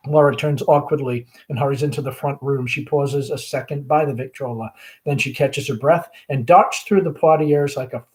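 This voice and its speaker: A man, a school principal, reading a play's stage directions aloud in a halting, self-correcting way. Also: medium pitch, fairly even intonation, a little mellow, rough recording.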